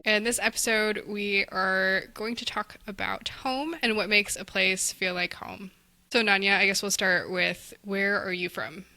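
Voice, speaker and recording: A woman in her twenties from Texas, co-hosting a podcast in relaxed, casual chat full of likes and ums. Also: medium pitch, unhurried, creaky, soft background music. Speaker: podcast co-host.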